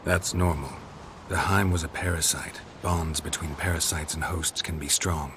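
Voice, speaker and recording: An actor voicing a calm, grizzled male monster hunter who talks in a terse, dry, matter-of-fact way. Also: rumbling low, normal speed, background noise.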